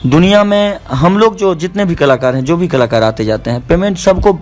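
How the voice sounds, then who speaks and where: A man's voice: on the high side, animated, brisk, a little mellow. A man with a Bihari accent in an argumentative phone conversation, insistent and repeating himself. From Bihar, India. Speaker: stage show artist and organiser. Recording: recorded phone call with faint music.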